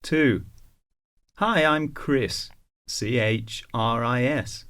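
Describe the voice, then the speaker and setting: A man reading scripted self-introductions for a listening exercise, slow and carefully enunciated. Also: medium pitch, fairly bright tone, smooth.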